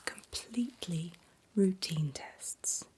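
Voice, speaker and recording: Slow, whispering woman, gentle and reassuring. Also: on the low side. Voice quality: breathy whisper. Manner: gentle, reassuring, unhurried personal attention. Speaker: ASMR performer playing a reassuring doctor. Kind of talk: soft-spoken ASMR medical exam roleplay.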